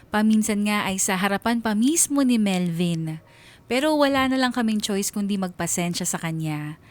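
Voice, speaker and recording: An actress as a medium-pitched, weary Filipina narrator. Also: female voice, close to an everyday voice. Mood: resigned, hurt. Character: weary married daughter confiding her life story. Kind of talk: first-person radio drama narration.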